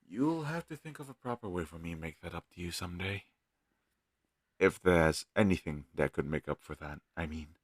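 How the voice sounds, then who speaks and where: A man playing an earnest, kind fantasy healer in an audio roleplay, speaking in a gentle, hesitant, slightly husky voice. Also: low-pitched, dry recording, studio quality. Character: earnest, kind young male fantasy healer, self-doubting.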